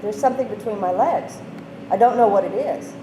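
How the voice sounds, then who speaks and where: A woman's voice: medium pitch, a little fast. A woman delivering a dramatic monologue in short, clipped sentences. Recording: echoey, noisy room, distant mic.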